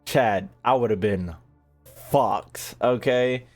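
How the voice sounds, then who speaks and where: A man's voice: fairly low pitch, slow pace, a little mellow, soft background music. A man chatting casually to his livestream audience while gaming, slangy and profane, with mock exasperation. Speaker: video game streamer talking to chat.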